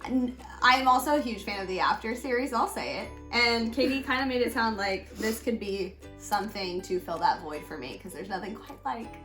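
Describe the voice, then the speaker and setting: A woman's voice: fairly high pitch, moderate pace, hardly any pauses, fairly bright tone, reverberant, faint music behind. A young American woman co-hosting a book show in casual, enthusiastic chat with a playful, joking, slangy manner.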